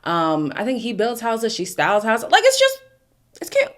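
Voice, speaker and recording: A woman's voice: on the high side, wildly swooping pitch, normal speed. A young woman from Canada, whose first language is Ndebele, chatting through a casual recap of a dating show with strong opinions. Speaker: recap host.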